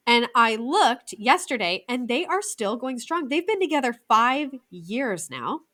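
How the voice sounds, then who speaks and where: A woman in her thirties from the United States, co-hosting a casual film review podcast, chatty and excitable with quick asides. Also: on the high side. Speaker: podcast co-host.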